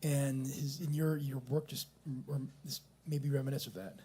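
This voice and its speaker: A man at a coffee shop open mic, speaking in a reflective, halting way about the poems he just heard. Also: medium pitch, flat delivery, warm tone, slightly rough. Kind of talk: casual chat.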